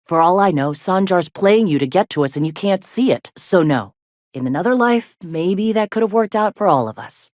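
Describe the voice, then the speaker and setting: A woman voicing a gruff, plainspoken middle-aged frontier medic in a video game, with a blunt, folksy way of talking. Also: on the low side, animated, normal speed, a little mellow, no echo, clean studio sound, close to an everyday voice. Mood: stern, frustrated. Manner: folksy, blunt, drawling slang with swearing. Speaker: video game character, medic and deputy leader.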